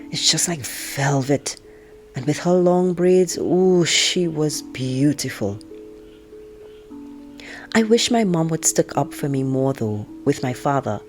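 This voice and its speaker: A woman reading a novel aloud like an audiobook narrator, expressively telling it as a teenage girl, with drawn-out emphasis. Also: low voice, darkish tone, faint music behind. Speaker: teacher reading aloud to students.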